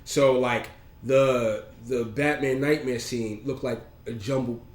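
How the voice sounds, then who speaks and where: A man's voice: medium pitch, fairly even intonation, slow. A man with a cold and a slightly nasal voice, co-hosting a casual podcast chat, rambling with lots of ums and restarts. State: has a cold, a bit nasal. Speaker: podcast co-host. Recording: echoey room.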